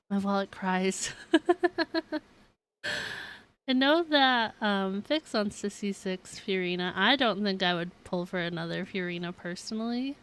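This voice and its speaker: A woman's voice: mid-range voice, a bit bright. A woman streaming a game, chatting casually in a playful, giggly way. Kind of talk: casual livestream chat over gameplay. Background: faint game music.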